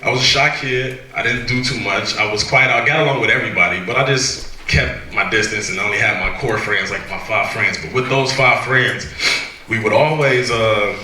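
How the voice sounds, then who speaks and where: A man from Ohio tells his story to a room of students, quick and loose, laughing often. His voice is very bright and sharp. It rings in an echoey hall, picked up from some distance. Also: medium pitch, slight background noise. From Cleveland, Ohio, USA. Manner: fast, casual, laughing often. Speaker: guest speaker. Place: university event hall.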